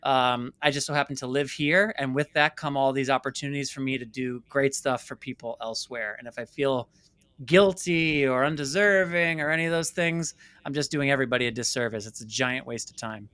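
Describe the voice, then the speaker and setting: A man in his late thirties from New York City, an interview guest who talks in an emphatic, animated storytelling way and voices imagined dialogue. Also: mid-range voice, fairly quick, clean tone, no echo. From New York City, United States. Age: late 30s. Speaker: interview guest, filmmaker and company founder.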